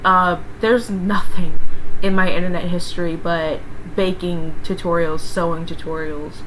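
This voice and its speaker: A woman live streamer chatting casually through would-you-rather questions, her voice hoarse, raspy and gravelly, rambling and sniffing as she talks. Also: on the low side, measured pace, low-quality recording. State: hoarse, voice partly gone. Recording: steady background noise.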